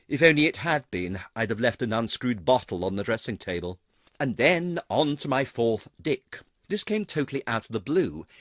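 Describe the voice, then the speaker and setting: A man's voice: mid-range voice, fairly bright tone, dry recording, clean studio sound. A middle-aged British man reading a scripted retrospective in a theatrical, wry storytelling manner. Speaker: pantomime dame actor, narrator. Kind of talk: scripted narration of a career retrospective. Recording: music playing behind the voice.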